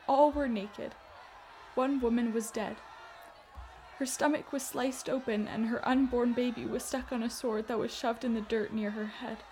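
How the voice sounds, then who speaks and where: Woman acting tormented defiant prisoner in a radio drama, high impassioned voice, bit of background noise. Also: darkish tone, lightly acted, poor audio. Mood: grim testimony. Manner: impassioned, declaiming. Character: anguished, defiant female captive of a death march.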